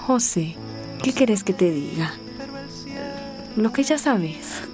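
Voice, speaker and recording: A woman's voice: mid-range voice, animated, slow, music in the background. Tender, hesitant young woman. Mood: shy, tender. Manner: coy, hesitant. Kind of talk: radio drama acting.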